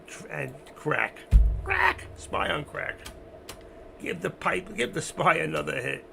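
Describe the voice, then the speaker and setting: A man hosting a casual trading livestream, rambling to himself and his chat with a hoarse voice. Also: fairly high pitch, huge pitch swings, slow pace, over music. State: losing his voice. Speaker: stock trading livestream host. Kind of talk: casual live market commentary.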